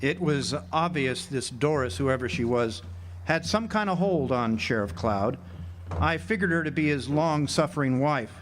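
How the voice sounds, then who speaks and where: A man's voice: medium pitch, lively intonation, normal speed, lightly acted. Wry hard-boiled drifter played by a man. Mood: brooding, wry. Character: wry, hard-boiled drifter narrating his jail tale. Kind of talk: noir radio drama narration. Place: live theater stage. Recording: noisy live amphitheater stage recording.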